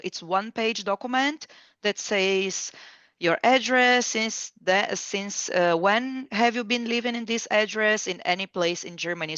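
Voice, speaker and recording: A woman's voice: mid-range voice, fairly bright tone, clean tone, dry recording. A woman applying for a position, asking questions on a video call in a hesitant way with many fillers. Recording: dry, slightly dull call audio.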